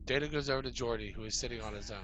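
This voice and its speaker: Brisk, bright male stage-direction reader. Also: mid-range voice. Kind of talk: scripted narration of a table read. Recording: some background noise.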